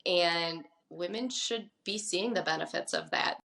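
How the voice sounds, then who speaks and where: A woman's voice: fairly low pitch, vocal fry, a bit bright. Assertive woman around thirty. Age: 30s. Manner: brisk and assertive. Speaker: patient. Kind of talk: interview testimony.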